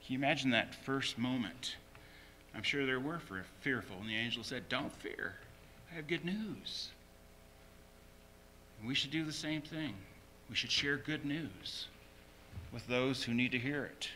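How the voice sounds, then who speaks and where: A man's voice: mid-range voice, measured pace, long pauses, pushed and bright, slight background noise, rough recording. A man preaching a sermon as a pastor, emphatically repeating short phrases.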